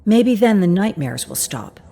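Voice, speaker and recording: Woman playing driven young female gamer, husky, tense, bitter, inward monologue, video game drama. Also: mid-range voice, very animated, unhurried, mellow, voice pushed up, heavily acted. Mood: determined, weary.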